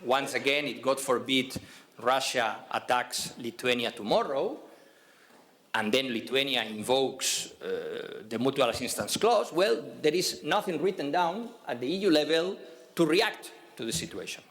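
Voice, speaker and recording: Emphatic male panelist, mid-pitched voice. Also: animated, unhurried, a bit bright. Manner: emphatic, repeats "we need to have". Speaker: panel speaker. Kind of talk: conference panel remarks.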